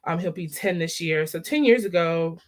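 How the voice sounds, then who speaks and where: Animated woman on a video call. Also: on the low side, normal speed. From Baltimore area, Maryland. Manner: animated, laughing storyteller. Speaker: guest, maternal health director. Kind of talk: casual interview chat. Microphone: video call on a laptop.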